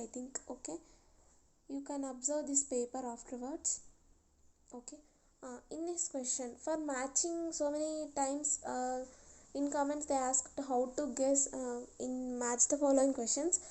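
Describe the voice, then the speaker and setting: A young woman talks her viewers through test questions in a casual tutorial. Her voice sits high yet sounds soft and mellow rather than bright. She explains one step at a time, slowly and patiently. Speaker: YouTuber sharing exam tips.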